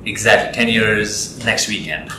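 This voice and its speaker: A young man from Nepal, a guest in an interview, talking in a relaxed, rambling way with lots of fillers and restarts. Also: lowish voice, unhurried, noisy background. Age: young adult. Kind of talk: casual interview chat. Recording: echoey room with loud music.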